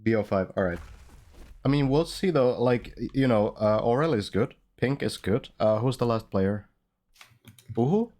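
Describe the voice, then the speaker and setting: A man's voice: medium pitch, lively intonation, moderate pace, darkish tone. A man from Finland, casting an online game match in a loose, rambling way, full of fillers and restarts. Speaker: esports caster. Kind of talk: casual game commentary.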